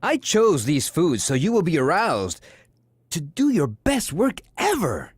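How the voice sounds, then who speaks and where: An actor playing an abrasive, amorous office lothario in an audio sitcom, with a florid, pompous delivery. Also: male voice, a little high, huge pitch swings, unhurried, slightly rough, no echo, clean studio sound, exaggerated voice. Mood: grandly cheerful. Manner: florid, pompous, over-romantic.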